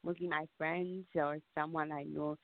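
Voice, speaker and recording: A woman's voice: deep voice, level tone, a little slow, dry recording. A young woman with a Ghanaian accent chatting casually as an interview guest. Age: young adult. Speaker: podcast guest, aspiring actor and model. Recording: muffled phone-line sound.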